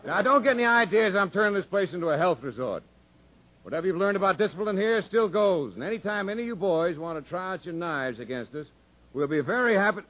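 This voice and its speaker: An actor playing a stern, blunt prison camp captain in a 1950s radio drama, commanding and clipped. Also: male voice, high-pitched, animated, fast talker. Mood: brisk, wry. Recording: dull 1950s radio broadcast recording.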